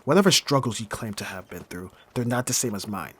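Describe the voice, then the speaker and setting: A man playing a bitter, hardened young thief in an ASMR roleplay, speaking with mockingly courteous, formal phrasing and pointed pauses. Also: on the low side, lively intonation, husky. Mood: controlled, sardonic. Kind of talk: scripted ASMR roleplay acting.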